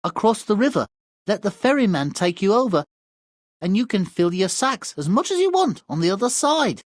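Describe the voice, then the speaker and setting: A man's voice: high voice, big pitch swings, normal speed, no room sound, clean studio sound. A man voicing a carefree, overconfident young lad in a fairy tale game, casual and breezy. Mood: confident. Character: cocky, carefree young lad, a luck child.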